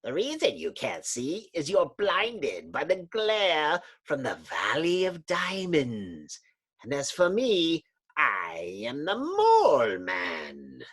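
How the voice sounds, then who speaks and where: A woman voicing a bitter, menacing male underground villain in a husky, grandiose, theatrical comic-book reading. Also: deep voice, huge pitch swings, slow, heavily acted. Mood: menacing, gloating.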